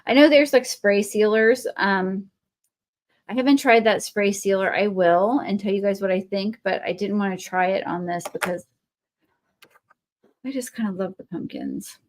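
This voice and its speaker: A woman's voice: medium pitch, normal speed. A woman with a Texan accent hosting a live crafting stream, chatting in a rambling, friendly way with viewers as she works. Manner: chatty and rambling, talks to viewers while working; friendly, answers viewer comments. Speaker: craft livestream host. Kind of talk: casual live crafting chat.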